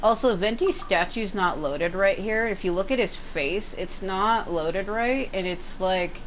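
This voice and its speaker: A woman's voice: medium pitch, a little slow, low-quality recording. Rambling woman gamer over faint noise. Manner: rambling, repeats herself for emphasis. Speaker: gaming video host. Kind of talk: casual gameplay commentary. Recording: faint background noise and game ambience.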